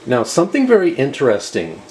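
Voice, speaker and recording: A man narrates a hands-on product demonstration in a methodical, explanatory way. His pitch sits in the middle range for a man, and some noise runs behind his casual delivery. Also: huge pitch swings, a little fast, a little mellow. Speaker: host reviewing vintage audio equipment. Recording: clear background noise.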